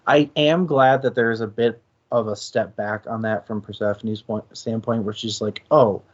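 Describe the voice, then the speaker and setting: A man co-hosting a casual discussion, thinking aloud haltingly and correcting himself as he goes. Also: on the low side, steady tone, dull audio. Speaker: co-host of a book discussion stream.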